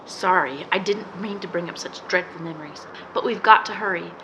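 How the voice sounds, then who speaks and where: A woman's voice: fairly low pitch, brisk, lightly acted. A woman playing a plucky, earnest girl sleuth. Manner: earnest, breathless, old-fashioned heroine talk. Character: plucky amateur-sleuth twin sister. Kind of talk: 1940s radio drama acting. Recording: noisy background hiss.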